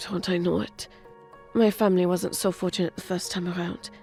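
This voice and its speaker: A woman playing a gentle, earnest and hesitant young lady in a romantic audio drama. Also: on the low side, little pitch movement, normal speed, gravelly, faint music behind. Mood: sympathetic, earnest. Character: sweet, shy, earnest young woman, a seamstress.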